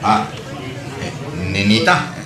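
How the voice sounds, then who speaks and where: A man's voice: fairly low pitch, sing-song, takes it slowly, a crowd in the background. A man playing an evasive, hesitant cheating husband and father in an Ilocano comedy audio drama. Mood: uneasy, annoyed. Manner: hesitant, stammering, defensive. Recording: roomy, music underneath.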